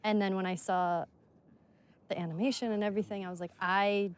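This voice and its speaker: A woman's voice: medium pitch, steady tone, brisk, clean tone. A woman chatting casually as a game actress giving commentary. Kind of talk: casual chat about acting.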